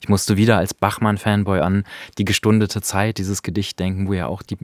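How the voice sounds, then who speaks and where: A man's voice: deep voice, flat delivery, a little fast, a little mellow, no room sound, clean studio sound. A man talking through a radio play in casual conversation, thoughtful and searching for words. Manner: thoughtful, searching, many fillers. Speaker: radio host.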